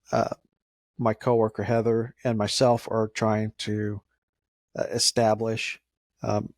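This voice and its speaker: A man answers as an interview guest in a medium-pitched voice with very little room sound. He talks informally and carefully, hesitating and feeling his way through each phrase. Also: dry recording, studio quality. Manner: measured, hesitant, halting phrasing. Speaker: emergency dispatcher, interview guest.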